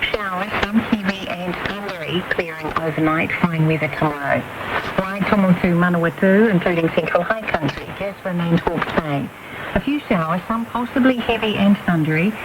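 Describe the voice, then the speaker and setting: Kiwi woman reading the weather on radio, lowish voice, really loud shortwave static. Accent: New Zealand. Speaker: radio news and weather presenter. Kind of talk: scripted weather forecast reading. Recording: very noisy shortwave radio reception.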